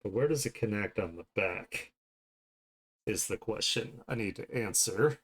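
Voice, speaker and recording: A man with a low voice and plenty of fry talks at an unhurried pace. He sounds like a streamer musing casually to viewers while he works, playful and ready to poke fun at himself. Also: lively intonation. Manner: thinking aloud, playful, self-deprecating. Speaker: streamer and illustrator. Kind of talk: casual livestream chat while drawing.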